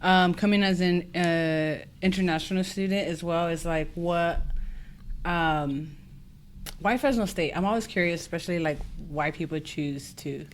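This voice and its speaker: A woman hosting a podcast, in a casual interview chat, friendly, quick to laugh, with plenty of "um". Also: lowish voice, slight background noise. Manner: friendly, laughs often, many fillers. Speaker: podcast host.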